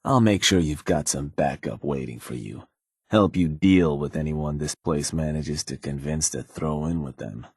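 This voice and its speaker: Sly video game gang fixer, very low pitch, husky, laid-back, slangy, folksy menace, dry close recording. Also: male voice, moderate pace, no echo, heavily acted. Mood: businesslike, encouraging. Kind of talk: video game character dialogue.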